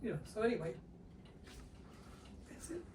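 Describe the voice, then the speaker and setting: An older man telling family stories in a community circle, animated and laughing, repeating words and quoting other people. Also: high voice, normal speed, background noise. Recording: echoey room, distant mic.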